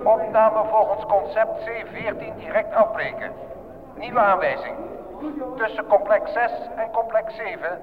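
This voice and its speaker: Tinny, high officious announcer. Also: male voice, a little slow. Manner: clipped, bureaucratic, commanding. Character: officious control-room announcer barking censorship orders. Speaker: actor in a radio play. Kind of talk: Dutch radio drama acting. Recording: tinny phone-like announcement, crowd bustle behind.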